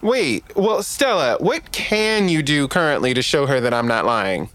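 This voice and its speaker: Young space traveler, slow halting delivery, very lively pitch, charming but clumsy, audio drama. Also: male voice, no echo. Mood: flustered, defensive. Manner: hesitant, stammering, expressive. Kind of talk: audio drama acting, conversational.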